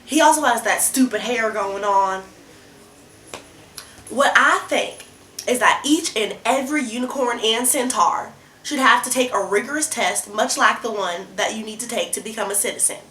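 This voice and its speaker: A woman's voice: fairly high pitch, moderate pace, bright, forceful tone. A young woman playing a brash, scornful, alarmist presidential candidate in a student debate video, blunt and folksy in her delivery. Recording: roomy echo, noticeable background noise.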